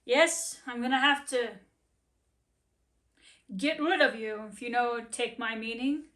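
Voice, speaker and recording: A woman's voice: a little high, fairly even intonation, takes it slowly, fairly bright tone. A woman voicing a blunt, dismissive farm master in a storybook.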